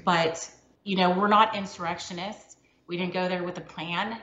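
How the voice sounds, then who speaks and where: A woman's voice: fairly low pitch, fairly even intonation, moderate pace, a bit bright. An American woman in her forties talking spontaneously as an interviewee, explaining and justifying herself plainly. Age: 40s. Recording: roomy, dull online show audio, faint noise.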